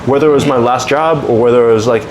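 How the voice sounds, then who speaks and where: Podcast host, young man, rambling and hesitant, everyday pitch, AC hum in the room. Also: quick pace. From Canada. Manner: hesitant, many fillers, laughs often. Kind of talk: casual podcast chat. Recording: noisy room with air conditioning hum.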